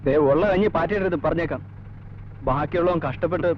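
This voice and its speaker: Actor as a high-pitched, chatty fellow. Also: male voice, fast talker, a little hiss or hum, close to an everyday voice. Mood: pleading, annoyed. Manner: colloquial, mixing in English words. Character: chatty, informal man in a film drama. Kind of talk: 1980s Malayalam film acting. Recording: dull old film soundtrack.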